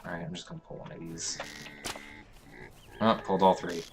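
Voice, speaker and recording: Low voiced guy with a hoarse stuffy voice, rambling slowly over his game, lots of ums. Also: very noisy. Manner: relaxed, halting, thinking aloud with many fillers. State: hoarse and congested. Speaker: let's play host. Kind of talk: casual gameplay commentary.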